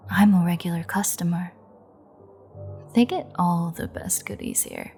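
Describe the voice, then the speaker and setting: A woman playing a menacing, amused female vampire in an audio roleplay, taunting and sultry with breathy, half-whispered asides. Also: on the low side, unhurried, a little mellow, soft background music. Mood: cold, threatening. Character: menacing, amused female vampire gloating over a captured hunter.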